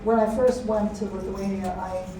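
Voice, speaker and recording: An older woman, an author speaking to an audience in a halting, reflective manner. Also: mid-range voice, fairly even intonation. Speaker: author and poet giving a talk. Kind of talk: informal talk and poetry reading to an audience. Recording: very echoey room, distant mic, faint noise.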